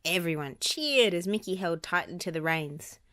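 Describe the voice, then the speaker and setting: A woman reading a children's storybook aloud as an expressive narrator. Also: fairly low pitch, normal speed. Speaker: storybook reader.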